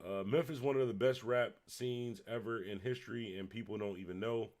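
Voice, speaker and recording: A man from Buffalo with a Western New York accent, a little tipsy, rambling loosely as he hosts a late-night livestream and shouts out viewers. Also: mid-range voice, steady tone, normal speed. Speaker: livestream host. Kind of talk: casual livestream chat reading comments.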